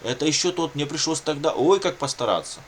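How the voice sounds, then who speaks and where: A man's voice: fairly high pitch, animated, fairly quick, slight background noise. A man talking casually and unscripted to his viewers, circling back over his points in a repetitive, colloquial way. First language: Russian. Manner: rambling, repetitive, with frequent colloquial catchphrases. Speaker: video blogger telling his own situation.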